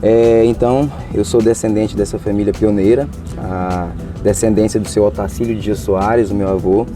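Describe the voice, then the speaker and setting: A man's voice: fairly low pitch, steady tone, moderate pace, over music. A man, answering interview questions earnestly and hesitantly. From Tocantins, Brazil. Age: 20s. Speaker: interviewee, law graduate.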